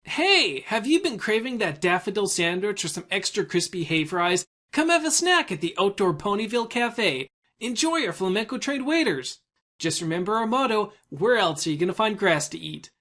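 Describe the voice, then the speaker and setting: A woman playing a pony giving a lively sales pitch in a parody radio advert. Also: normal speed. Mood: salesy.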